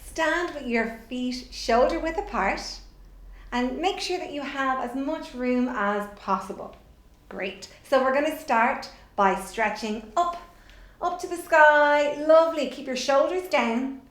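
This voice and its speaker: High-voiced woman presenter, echoey room. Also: unhurried. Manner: encouraging, simple guiding instructions. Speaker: theatre workshop presenter. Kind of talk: children's instructional video, explaining and leading warm-up exercises. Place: indoors in a bare room at home.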